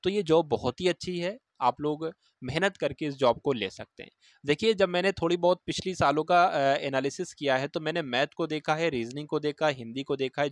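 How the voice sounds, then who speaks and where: A man with a moderately high voice talks to students as a coaching instructor. He explains in an informal way, often restating phrases to drive them home. The sound is very dry, with no room echo. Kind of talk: informal advice to exam aspirants.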